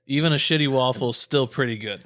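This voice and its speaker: A man's voice: mid-range voice, fast talker, fairly bright tone, no echo. A man co-hosting a podcast in casual, joking banter, insisting on his points with mock seriousness. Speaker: podcast co-host.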